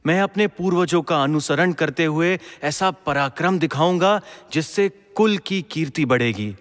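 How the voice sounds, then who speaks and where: A man's voice: on the high side, moderate pace, close to an everyday voice. A man acting an earnest, brave and dutiful young prince in a mythological audio drama, declaiming with formal respect and passion. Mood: pleading, resolute. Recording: long hall-like reverb.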